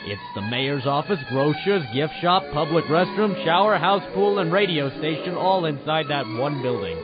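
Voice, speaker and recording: A man voicing a blunt, deadpan island local in an emphatic manner. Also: fairly high pitch, moderate pace, over music, lightly acted. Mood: deadpan, scornful. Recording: dull lo-fi radio sound.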